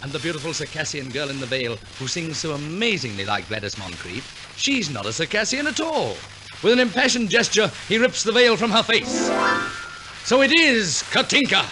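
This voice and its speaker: Male announcer for a 1940s radio operetta; arch, wry storyteller with lively swoops, orchestral music on an old recording. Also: fairly high pitch, fairly quick.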